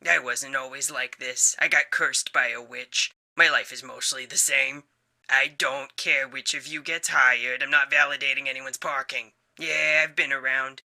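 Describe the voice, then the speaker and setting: Sharp, grumpy talking office machine. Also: male voice, medium pitch, vocal fry. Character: jaded, grumpy male talking office machine, bored employee. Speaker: game announcer. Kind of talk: scripted comedic game announcements.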